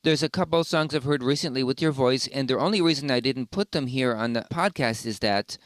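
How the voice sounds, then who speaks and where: A man's voice: medium pitch, a little fast, dry recording. A man hosting a casual podcast interview, talking in an effusive, opinionated way. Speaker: podcast host.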